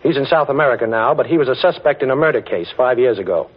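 Dull vintage radio sound carries a confident, quick-witted male detective. His husky, medium-pitched voice has a breezy, wry way of explaining things in classic 1940s drama style. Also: lively intonation. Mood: brisk, planning.

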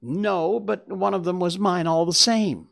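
A man's voice: sits high, steady tone, moderate pace, vocal fry, pitched above the performer's own voice. A man voicing a little, cringing, rat-faced fellow who whines and pleads in a storybook reading. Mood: whining. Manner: whining, pleading.

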